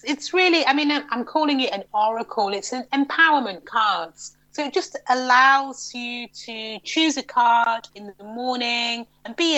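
A woman's voice: on the high side, rough recording. A middle-aged woman from England, answering interview questions thoughtfully and often restarting her sentences. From northern England. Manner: thoughtful, with frequent restarts and a lively rising voice. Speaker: guest, psychologist and yoga teacher. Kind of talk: interview conversation.

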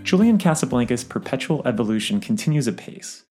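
A man's voice: mid-range voice, animated, a little fast, music in the background. A man reading a scripted album review as narration.

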